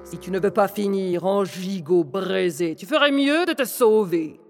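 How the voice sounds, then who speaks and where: A woman voicing a boastful male dragon with a cavernous voice, slow and mocking. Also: medium pitch, faint music behind, natural voice.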